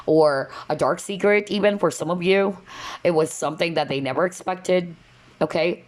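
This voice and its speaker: Low-voiced tarot reader, a bit nasal. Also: female voice. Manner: chatty, with many fillers and asides to viewers. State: slightly nasal, losing her voice. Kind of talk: casual pick-a-card tarot reading.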